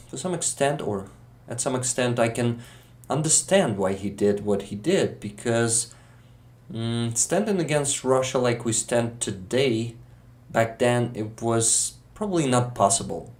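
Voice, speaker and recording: Ukrainian man guest, slow deliberate English with a Ukrainian accent, halting, restarts often; unhurried pace, mid pitch. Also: animated, darkish tone. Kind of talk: casual interview conversation.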